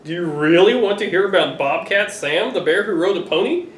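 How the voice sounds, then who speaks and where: A middle-aged man reading a picture book aloud to children, expressive and playfully exasperated. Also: high-pitched, brisk. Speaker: father reading to his children. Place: living room sofa, indoors. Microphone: camera a few feet away. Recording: roomy, distant home recording with noise.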